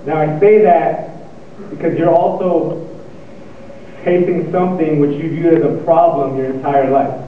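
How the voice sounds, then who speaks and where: Student speaker, young man, stuttering delivery, slightly high voice, heard from far off in a reverberant hall. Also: fairly even intonation, a little hiss or hum. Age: young adult. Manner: stuttering, pausing and repeating words. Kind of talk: motivational speech. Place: lecture hall. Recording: echoey hall, tinny and far off.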